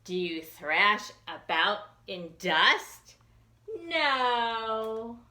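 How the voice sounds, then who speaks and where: Bright, very animated woman reading storytime. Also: mid-range voice, extremely slow, echoey room. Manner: expressive, sing-song questioning. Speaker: children's librarian hosting storytime. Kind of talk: animated picture-book read-aloud for young children.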